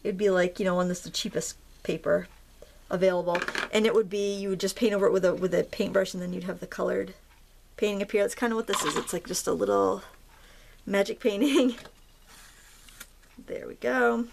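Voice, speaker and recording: A woman hosting a craft tutorial, chatting in a relaxed, rambling way as she paints. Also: mid-range voice, a little fast, vocal fry, noisy background. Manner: relaxed, rambling, with fillers and soft laughs. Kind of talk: casual chatty tutorial narration.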